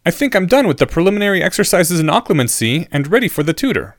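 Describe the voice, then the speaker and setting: A man's voice: high-pitched, sing-song, fast talker, no room sound, studio quality, pitched above the performer's own voice, heavily acted. A man voicing an earnest, precocious schoolboy in an audiobook story, articulate and questioning.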